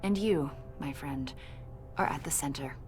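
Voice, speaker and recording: An actress playing a confident government agent in a video game, questioning in a pointed, insinuating and accusatory way. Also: female voice, low-pitched, a little slow, a little mellow, soft background music. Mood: pressing. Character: probing female government agent, confident, accusatory, smoothly needling. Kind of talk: video game dialogue acting.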